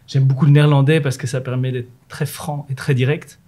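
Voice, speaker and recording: A middle-aged man speaks at an ordinary pitch, steady and explanatory. He is a manager answering an interviewer's corporate questions, pausing on fillers and restarting phrases as he thinks. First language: French. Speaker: renewables manager at an energy company.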